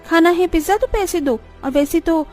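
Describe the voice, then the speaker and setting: Woman acting snobbish cartoon restaurant owner, very high voice, scolding, scornful, music underneath. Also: moderate pace, a little mellow. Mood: scornful, angry. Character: haughty, rude restaurant owner scorning the poor. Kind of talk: cartoon story dialogue.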